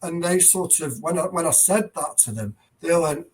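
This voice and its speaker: A man's voice: a little high, lively intonation, moderate pace, husky. A middle-aged headteacher, a man, speaking earnestly and emphatically as a guest in a conversational interview, often restarting his sentences. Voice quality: loud voice.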